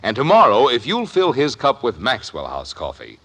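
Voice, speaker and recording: Highly animated vintage radio pitchman. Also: normal speed. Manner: emphatic, salesmanlike. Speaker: commercial announcer. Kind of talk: 1950s radio ad read.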